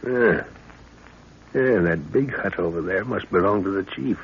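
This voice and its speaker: A man acting a big, gruff, blustering middle-aged professor in a 1930s radio adventure. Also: low voice, unhurried, heavily acted. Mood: grim resolve. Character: huge, gruff, hot-tempered older professor leading a jungle expedition. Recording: muffled old 1930s radio recording.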